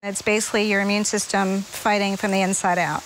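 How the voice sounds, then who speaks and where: A woman's voice: medium pitch, flat delivery, brisk, fairly bright tone, a little hiss or hum, low-quality recording. A woman giving a personal account as an interviewee in a news story. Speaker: interviewee, patient's mother.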